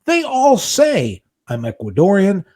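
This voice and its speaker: Older male podcast co-host in his sixties, pitched a bit high, with a lively, swooping delivery and an indignant, exasperated edge. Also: a little slow. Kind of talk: casual podcast chat.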